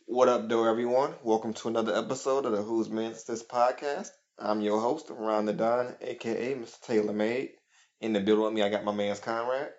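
A man's voice: lowish voice, steady tone, brisk, a little mellow, muffled recording. A man hosting a podcast, talking in a laid-back, joking way with friends. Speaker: podcast host. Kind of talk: casual chat.

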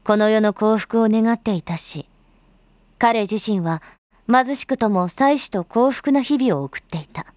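A woman's voice: a little high, a little slow, darkish tone, no room sound, studio quality, lightly acted. A woman performing as a grave storyteller, giving scripted dramatic narration in solemn, literary phrasing. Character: grave storyteller recounting a bloody uprising.

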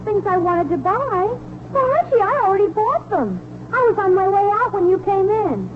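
Gushing teenage girl, high and bouncy intonation, breezy, vintage radio comedy, tinny broadcast. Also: very animated, normal speed, background noise. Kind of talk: 1940s radio comedy acting.

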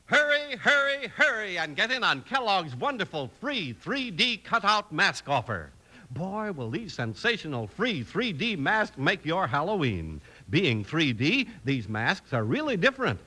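A man's voice: high voice, sing-song, measured pace, sharp tone. An American man announcing and selling on a 1950s radio show in an emphatic, punchy delivery. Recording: old radio broadcast recording.

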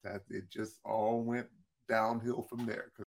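A deep-voiced man with a gravelly, husky edge tells stories from his career as a singer-songwriter. He talks loosely and conversationally, doubling back on his words and chuckling between stories. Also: level tone, unhurried. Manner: repeats words and restarts often, laughs between stories. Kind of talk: casual interview storytelling.

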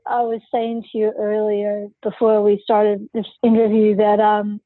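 A woman's voice: medium pitch, monotone, unhurried, dry recording. Forthright woman on a tinny line. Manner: candid, forthright, outspoken. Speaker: podcast guest. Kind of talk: personal storytelling in an interview.

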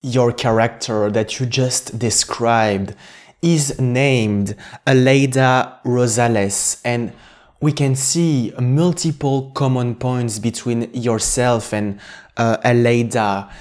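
This is a man hosting an interview at an unhurried pace. He builds his questions carefully, in a formal style, and fills the gaps with frequent hesitant pauses. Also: mid-range voice, fairly even intonation, a little mellow. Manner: slow and careful, with many "uh" pauses and formal phrasing. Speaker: interview host.

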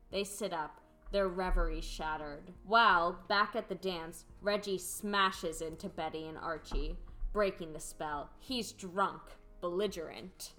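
Slightly low-voiced woman reading stage directions. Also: bright, forceful tone. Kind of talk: scripted radio play narration.

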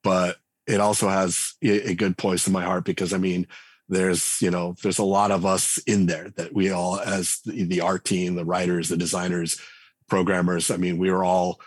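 A man's voice: sits low, level tone, normal speed, breathy edge, no echo. A man speaking as a podcast guest, talking in a thoughtful, rambling way with lots of fillers and restarts. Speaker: game writer and designer guest. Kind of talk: conversational podcast interview.

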